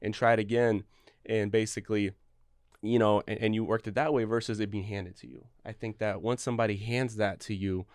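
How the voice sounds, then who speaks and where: A man's voice: lowish voice, fairly even intonation, a little fast, dry recording, clean studio sound. A young man from Ukraine, a guest in a casual interview, talking earnestly and rambling through restarts. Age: young adult. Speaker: guest, real estate broker.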